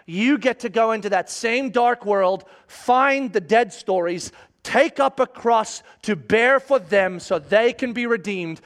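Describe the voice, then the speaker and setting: A middle-aged pastor delivers an emphatic sermon in a notably high voice. He preaches through storytelling and speaks directly to the congregation. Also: a little slow, fairly bright tone.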